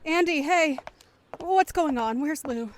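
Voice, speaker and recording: A woman's voice: sits high, takes it slowly, noisy background. A woman acting a firm, wary, scolding middle-aged mother in an audio drama.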